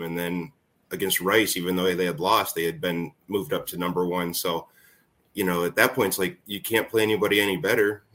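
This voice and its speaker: Deep-voiced man reminiscing matter-of-factly. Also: a bit bright. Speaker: former college baseball player, guest. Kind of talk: casual reminiscing in interview.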